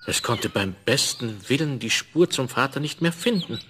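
A man narrating a fairy tale in a measured, expressive way, addressing the listener, on an old vinyl record. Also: medium pitch. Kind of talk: scripted fairy-tale storytelling. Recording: crackly 1970s vinyl record transfer.

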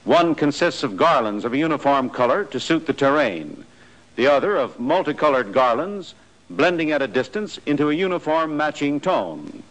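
Male narrator, slightly higher voice, measured instructional read, 1940s film soundtrack. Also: sing-song, fairly bright tone, rough recording. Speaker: narrator of army training film. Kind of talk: scripted documentary narration.

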